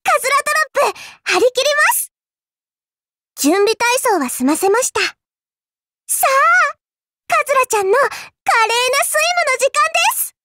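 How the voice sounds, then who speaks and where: A woman's voice: very high-pitched, animated, moderate pace, pauses often, bright, forceful tone, dry recording, clean studio sound, full character voice. A woman voicing a cute, mischievous young girl in an anime mobile game, perky and teasing, with polite, playfully smug delivery. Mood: cheerful, excited. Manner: perky, teasing, polite speech with cutesy exclamations.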